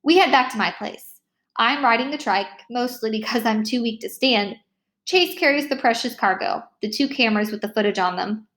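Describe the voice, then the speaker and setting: A woman reading a children's novel aloud as an expressive first-person storyteller. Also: a little high, moderate pace. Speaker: read-aloud narrator.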